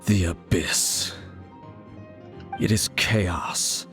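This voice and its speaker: An actor voicing a grave, knowing, world-weary traveling warrior in a video game, speaking in a measured, formal way. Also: male voice, low-pitched, fairly bright tone, soft background music, exaggerated voice. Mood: grave, solemn.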